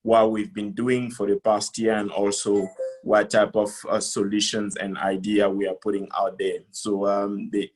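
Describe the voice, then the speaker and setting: Man, middle-aged, from the Democratic Republic of Congo, lowish voice, deliberate halting speech, online business presenter. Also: little pitch movement, darkish tone. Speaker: conference speaker, company founder. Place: video call.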